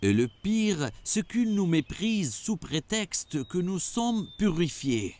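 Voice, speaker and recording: A man playing an earnest, troubled townsman in an animated prophet story, with a dramatic, emphatic delivery. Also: sits high, takes it slowly, heavily acted. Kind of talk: animated religious story acting.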